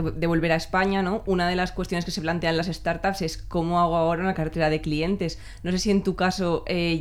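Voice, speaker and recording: A podcast host interviewing a guest in a conversational way, asking long questions that end in tag questions. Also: mid-range voice.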